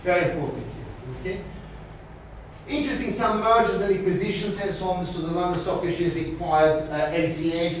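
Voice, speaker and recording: Male lecturer in an echoey room. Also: fairly high pitch. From Austria. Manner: animated, gestures widely, peppers talk with "okay?". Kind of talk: university finance lecture. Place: small classroom with screen and whiteboard. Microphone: far camera. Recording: very echoey room, from far off, faint hiss.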